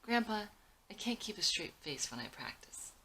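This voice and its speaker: A woman's voice: mid-range voice, very animated, normal speed, gravelly, fairly bright tone, a little hiss or hum, pitched above the performer's own voice. A woman voicing a clever, polite eighteen-year-old grandson, earnest and mock-formal, in a screenplay read-through. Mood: playful, candid.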